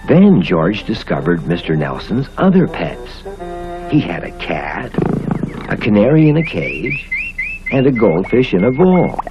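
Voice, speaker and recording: Animated American male storyteller, swooping pitch. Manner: theatrical, expressive storytelling. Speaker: storybook narrator. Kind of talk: scripted children's story narration. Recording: muffled old cartoon soundtrack with music.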